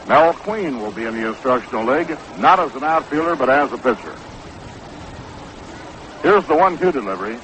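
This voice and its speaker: An American man calling baseball play-by-play in 1960s radio style, with a measured, unhurried, factual delivery. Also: mid-range voice, fairly bright tone. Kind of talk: 1960s live radio sports commentary. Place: ballpark broadcast booth. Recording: dull, noisy 1960s AM radio broadcast.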